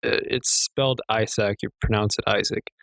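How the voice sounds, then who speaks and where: A young man co-hosting a casual podcast, with a quiet, slightly hoarse voice, rambling and joking with plenty of swearing. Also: fairly low pitch, steady tone, normal speed, no echo. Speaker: podcast co-host. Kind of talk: casual chat.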